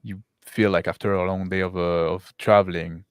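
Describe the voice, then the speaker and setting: A man's voice: sits low, level tone, normal speed, clear, resonant tone, dry recording, studio quality. A man working as game master, improvising scene narration for a tabletop roleplaying game.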